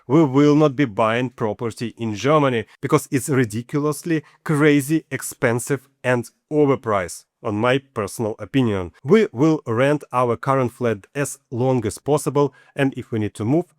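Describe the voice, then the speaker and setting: A man in his forties speaks English with a Ukrainian accent in a mid-pitched voice. He lays out costs and sums one step at a time in a matter-of-fact way. The recording is dry, with no room echo. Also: normal speed, hardly any pauses. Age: 40s. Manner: matter-of-fact, walks through figures step by step. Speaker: channel host. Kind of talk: personal finance vlog.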